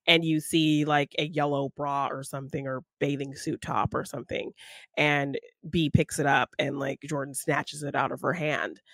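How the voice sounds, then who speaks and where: A woman's voice: low voice, fairly bright tone, dry recording. A woman co-hosting a podcast, casually talking through a film and acting out bits of dialogue as she retells it. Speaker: podcast co-host.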